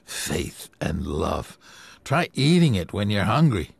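A man voicing a gruff, cynical male newspaperman in a storybook reading. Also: mid-range voice, expressive, a little slow, dry recording, heavily acted. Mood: dismissive.

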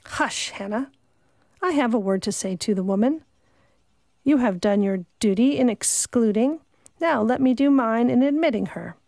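Woman voicing a stern young clergyman, measured, authoritative, calm, low and quiet, dry close sound. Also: vocal fry, no echo. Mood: firm.

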